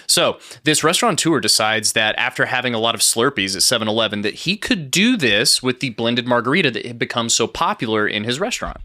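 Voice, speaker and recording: A man's voice: mid-range voice, expressive, a little fast, few pauses, sharp tone. A man co-hosting a casual comedy podcast in a studio, telling a story. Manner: storytelling, chatty, laughs often. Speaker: podcast co-host.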